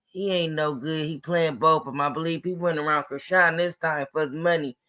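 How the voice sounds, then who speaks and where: A raspy-voiced woman calls in to a talk show. She speaks bluntly and casually, with plenty of slang, and stands firmly by her opinions. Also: low-pitched, fairly even intonation, brisk, pushed and bright. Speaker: caller. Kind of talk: casual phone-in chat.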